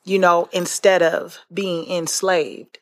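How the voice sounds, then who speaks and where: An American woman with a fairly low-pitched voice speaks as a podcast host. Her delivery is conversational and earnest. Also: steady tone, slow. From United States.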